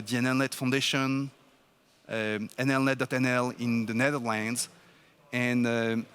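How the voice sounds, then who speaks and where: A man's voice: mid-range voice, fairly bright tone. A man speaking at a tech conference in an emphatic, encouraging way. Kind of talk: conference presentation.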